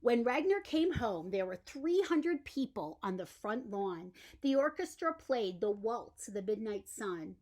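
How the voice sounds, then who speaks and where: Woman storyteller, higher-pitched voice, very animated intonation, expressive bedtime picture-book narration. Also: measured pace, hardly any pauses.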